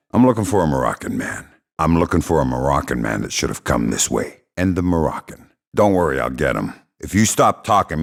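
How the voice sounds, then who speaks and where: An actor playing a hardened middle-aged spy in a video game, gravelly, terse and deadpan, with dry sarcasm and menace. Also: male voice, rumbling low, full character voice. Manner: terse, deadpan, dryly threatening.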